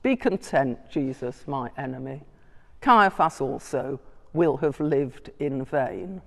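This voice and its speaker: An older woman reading aloud as a scheming male high priest from a radio play, slow and solemn, in a church with long reverb. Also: animated, vocal fry, full character voice. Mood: prophetic.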